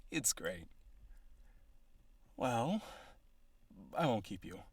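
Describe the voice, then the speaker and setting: Man playing a smug, animated flirty villain. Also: mid-range voice, extremely slow, breathy edge, no room sound, heavily acted. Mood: smug, easygoing. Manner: conversational, smug, sardonic, teasing. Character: cocky flirtatious male businessman villain on a date. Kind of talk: flirty audio roleplay monologue.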